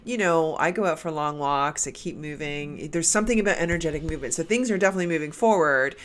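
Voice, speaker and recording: A woman's voice: sits low, fast. A woman doing a casual spiritual card reading, her nose stuffed up from a cold, talking in a meandering way with many restarts. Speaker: tarot card reader.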